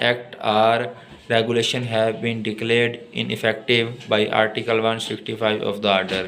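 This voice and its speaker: Pakistani guy teaching law, slow and deliberate, mid pitched voice, bit of background noise. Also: a bit bright. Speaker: law lecturer. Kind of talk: explanatory lecture, reading statutes aloud and explaining them.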